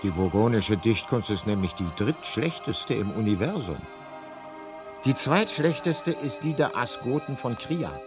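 A male actor as a talking encyclopedic guidebook in a radio play. He narrates in a deadpan, measured manner, with music clearly playing behind him. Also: medium pitch, close to an everyday voice. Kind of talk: scripted radio drama narration.

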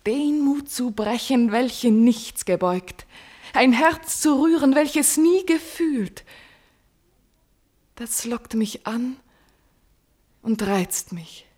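An actress playing a proud, sorrowful princess in a classical verse radio drama, speaking in a solemn, deliberate, declamatory way. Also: female voice, fairly high pitch, lively intonation, darkish tone. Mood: proud, impassioned. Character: proud sorrowful captive princess of royal blood, secretly in love.

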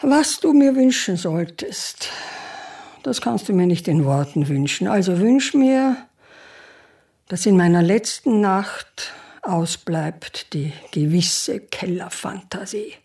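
Husky, creaky old Austrian lady. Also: medium pitch, lively intonation, slow pace, a little mellow, distant mic, full character voice. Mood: grave. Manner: formal, wordy, genteel. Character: elderly mother and aunt, dignified, fretful, forgetful. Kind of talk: radio play acting.

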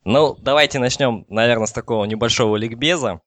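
A man giving an informal technical explanation as an expert, in a halting way with many false starts. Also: mid-range voice, fairly bright tone, no room sound. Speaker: telecom engineer explaining as guest expert.

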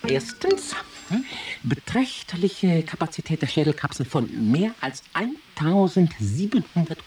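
An actress playing an exacting, opinionated skull examiner who enumerates findings clinically in a radio drama. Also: female voice, on the low side, recorded from a distance.

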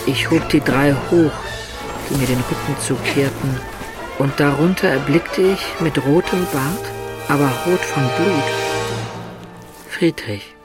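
A seasoned storyteller adventurer played by a man narrates a radio play in the first person. The voice is medium in pitch and measured. Loud music runs underneath. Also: natural voice. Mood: grave. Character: male adventurer recounting his own past exploits.

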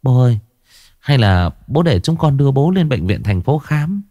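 A man voicing a worried young daughter-in-law who gently coaxes her family. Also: medium pitch, big pitch swings, moderate pace, no echo, clean studio sound, voice pushed up. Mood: worried coaxing. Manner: coaxing, gentle.

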